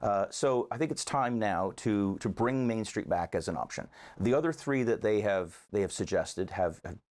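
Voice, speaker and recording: A man with a fairly low voice speaks as a guest in a conversational interview. He comes across as an earnest local advocate, setting out his argument one point at a time. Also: animated, moderate pace, darkish tone.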